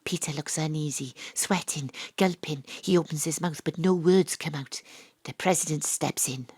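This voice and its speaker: A woman's voice: low-pitched, moderate pace, a little mellow, slightly rough. An actress playing a dry, sardonic woman detective who questions suspects and narrates her case in an audio drama. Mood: wry, confiding.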